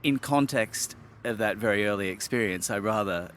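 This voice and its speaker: A man's voice: fairly low pitch, moderate pace, fairly bright tone, a little hiss or hum. A middle-aged man from Sydney, Australia, a wine expert guest, speaking in articulate, wordy sentences with hesitant fillers. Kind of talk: relaxed interview talk.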